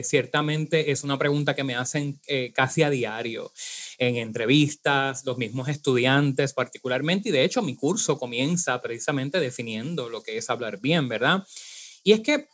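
Casting: Puerto Rican man, diction teacher, slightly high voice, explains patiently with lots of fillers. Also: a bit bright. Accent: Puerto Rican Spanish. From Puerto Rico. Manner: didactic, many "eh" fillers and tag "¿verdad?". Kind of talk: podcast interview.

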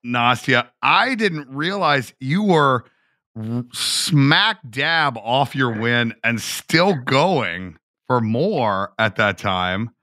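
A man's voice: medium pitch, expressive, very slow, bright, forceful tone, no room sound, clean studio sound. A man hosting a podcast, asking questions in a playful, joking manner. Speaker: podcast host. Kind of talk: casual interview.

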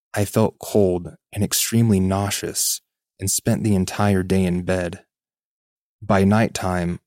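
A man's voice: deep voice, a little mellow, no echo, clean studio sound. A man reading a first-person spooky story as a scripted podcast narration.

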